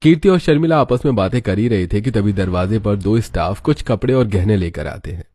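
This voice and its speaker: A man's voice: on the low side, lively intonation, brisk, dark tone, slightly rough, dry recording, studio quality. A man narrating a scripted cartoon story as its storyteller.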